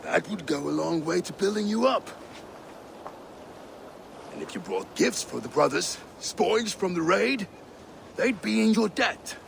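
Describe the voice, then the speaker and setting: Male mocking Norse warrior for a game, demanding, high and gravelly, theatrical delivery. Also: lively intonation, a little slow, pauses often, heavily acted. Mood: stern, advising. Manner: jeering, theatrical. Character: scornful, mocking Dane warrior, demanding taskmaster. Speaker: video game character. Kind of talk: dramatic video game acting. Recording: clearly noisy game background ambience.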